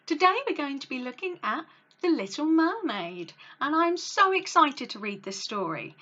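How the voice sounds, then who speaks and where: A woman reading a children's story aloud as host, with a dramatic, expressive read-along delivery. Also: high-pitched, moderate pace, muffled recording. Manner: dramatic, animated delivery for young listeners.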